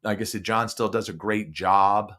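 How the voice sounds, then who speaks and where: A man's voice: lowish voice, fairly even intonation, normal speed, fairly bright tone. Chatty man hosting, enthusiastic about music. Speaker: podcast host. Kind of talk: casual podcast chat.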